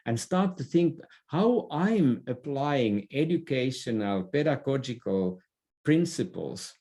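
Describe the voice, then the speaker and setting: A middle-aged man from Finland with a Finnish accent, speaking as an opinionated guest in a podcast interview, halting and repeating words as he makes his points. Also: mid-range voice, expressive, dark tone. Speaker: interview guest, author and business thinker.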